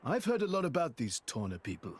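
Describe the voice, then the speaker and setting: A man's voice: a little high, wildly swooping pitch, fast talker, slightly rough, full character voice. A man playing a breezy, roguish adventurer in a video game, with a drawling, teasing manner. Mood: wry.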